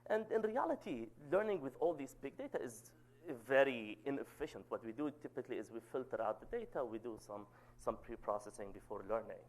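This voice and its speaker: A man in his thirties with a loud voice, giving an academic seminar talk and often checking in with "okay?". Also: a little fast, rough recording. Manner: says "okay?" to check in, lively pitch. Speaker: guest lecturer.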